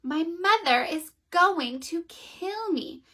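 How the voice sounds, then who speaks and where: A mischievous little girl from a storybook, played by a woman reading aloud answers in a flat, matter-of-fact way. Her voice is bright and fairly high. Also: takes it slowly, full character voice. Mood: sly.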